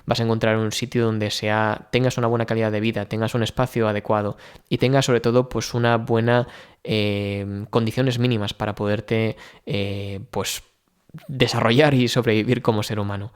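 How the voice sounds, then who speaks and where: A man's voice: on the low side, level tone, moderate pace, clean tone. A man from Spain talking casually in a vlog, thinking aloud with many fillers and restarts. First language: Spanish. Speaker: vlogger. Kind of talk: casual personal vlog talk.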